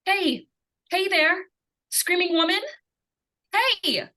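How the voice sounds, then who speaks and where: A woman acts an insistent young girl with a high, piercing voice. She pleads urgently and repeats herself in desperation, in the style of 1940s radio suspense. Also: lively intonation, drawn-out words, pushed and bright, full character voice. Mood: eager, insistent.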